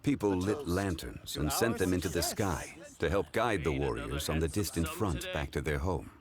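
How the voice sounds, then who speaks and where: Low-voiced, dignified gentleman. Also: lively intonation, crowd noise. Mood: composed. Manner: formal, measured, eloquent, contemplative. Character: dignified, leisurely, ancient-souled gentleman of refinement. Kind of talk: English video game voice acting. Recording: music behind the voice.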